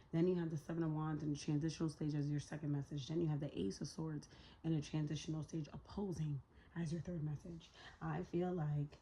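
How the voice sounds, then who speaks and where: A low, husky-toned woman whose voice is hoarse and raspier than usual. She gives a loose, slang-heavy tarot reading, swearing freely and thinking out loud. Her tone is dark and mellow under the rasp. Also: poor audio. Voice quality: raspy. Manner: talks to spirit while pulling cards. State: hoarse, voice a little shot. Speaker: tarot reader. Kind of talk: casual tarot reading to viewers.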